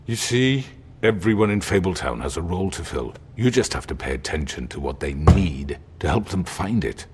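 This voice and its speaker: An actor playing a commanding crime boss in a video game, measured, formal and coolly patronizing. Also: male voice, lively intonation, darkish tone, soft background music. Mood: calm, commanding. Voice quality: very deep voice. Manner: measured, formal, smooth-talking.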